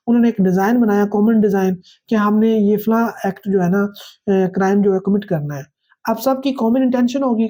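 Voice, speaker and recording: A woman's voice: a little high, warm tone. A woman with a Pakistani accent lecturing on law, explaining step by step in a didactic way with repeated phrases and frequent check-ins. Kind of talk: explanatory lecture, reading statute aloud and paraphrasing.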